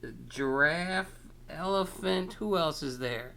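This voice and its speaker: A man hosting a storytime, chatting casually and playfully with young children in an encouraging, halting, rambling way. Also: on the high side, vocal fry, pushed and bright. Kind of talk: casual read-aloud chat to young children.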